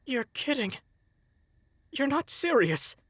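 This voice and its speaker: A woman's voice: high-pitched, very animated, takes it slowly, slightly rough, dry recording, heavily acted. A woman voicing a bitter, scornful teenage boy villain in a fan comic dub, with biting, sarcastic intensity. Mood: cold, scornful.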